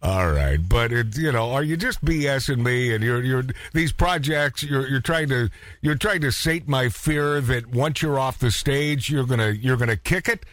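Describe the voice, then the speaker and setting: A man hosting a casual radio interview, joking and mock-stern in a bantering, halting way with repeated phrases. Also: mid-range voice, a bit bright, no echo. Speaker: radio show host interviewing a guest.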